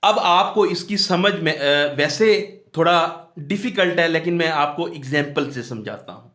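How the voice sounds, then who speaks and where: A man's voice: a little high, expressive, measured pace, a bit bright. A man with a Pakistani accent giving a lecture, reading out formal text and explaining it step by step with repeated phrases. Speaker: law lecturer. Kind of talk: exam-focused lecture, reading statutes aloud and explaining with examples. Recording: echoey room.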